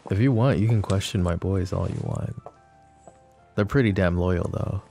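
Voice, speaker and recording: Guy doing a smug possessive mafia boss for asmr roleplay, dark mellow creaky voice, teasing flirty drawl. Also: low voice, moderate pace, soft background music. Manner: teasing, mocking, intimate drawl with pet names.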